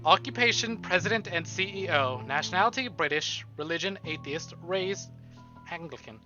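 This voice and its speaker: A man with a slightly high voice streams a story game, switching between narration and animated, sweary commentary. The audio is a bit dull, with music clearly playing behind him. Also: normal speed. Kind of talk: live playthrough commentary, reading visual novel text aloud.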